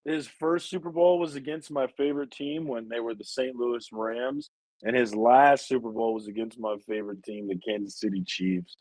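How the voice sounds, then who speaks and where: A deep-voiced man in his forties, co-hosting a casual chat in a laid-back, wry, rambling way. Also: fairly even intonation, moderate pace, a little mellow, dry recording, low-quality recording. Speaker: co-host.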